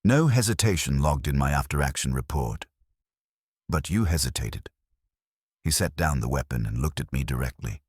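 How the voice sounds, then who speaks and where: A man narrating a dramatic first-person science fiction story in a solemn, measured way, with short clipped phrases. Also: very deep voice, expressive, a little mellow, husky, no echo, clean studio sound.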